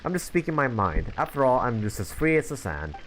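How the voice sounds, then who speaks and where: A man's voice: medium pitch, big pitch swings, fairly quick, slight background noise, lightly acted, poor audio. A man voicing a free-spirited young adventurer girl in a video game, teasing and encouraging in an easygoing way. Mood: breezy.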